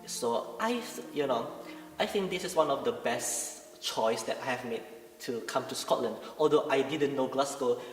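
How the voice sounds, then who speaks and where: A man's voice: medium pitch, normal speed. A young Indonesian man, a pianist and composer, speaking earnestly and hesitantly in a pre-concert interview, often searching for words. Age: young adult. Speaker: pianist and composer, masters student. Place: large recital room. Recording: echoey room, distant mic, music behind.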